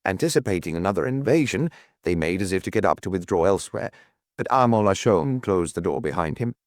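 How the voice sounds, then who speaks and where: A man's voice: deep voice, animated, fairly quick, no echo, clean studio sound. A man reading scripted detective fiction as an audiobook narrator.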